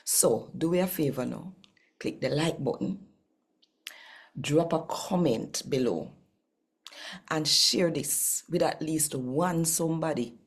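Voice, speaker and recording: Woman, Jamaican accent, very low pitch, husky, unhurried, deliberate host and reader. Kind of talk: chatty channel introduction and scripture reading.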